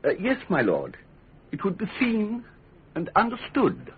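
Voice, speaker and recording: A high, husky, oily ambassador. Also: male voice, wildly swooping pitch, unhurried, heavily acted. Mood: insinuating, flattering. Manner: formal, ingratiating, elaborately courteous. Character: oily, scheming foreign ambassador, smoothly flattering courtier. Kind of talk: radio drama acting. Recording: thin, phone-like lo-fi radio recording.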